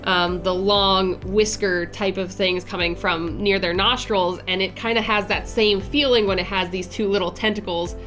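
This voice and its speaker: A woman's voice: mid-range voice, steady tone, moderate pace, hardly any pauses, fairly bright tone, faint music behind. A woman in her twenties with a nasal, stuffy voice from a head cold, chatting casually and upbeat as she talks through her drawing process, with lots of fillers and restarts. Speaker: art channel host. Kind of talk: casual art vlog narration.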